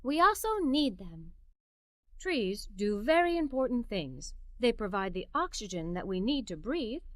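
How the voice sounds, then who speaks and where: A woman reading a children's story in an expressive, scripted narrating style. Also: fairly high pitch, measured pace, dry recording, clean studio sound. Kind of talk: scripted read-aloud story narration for kids.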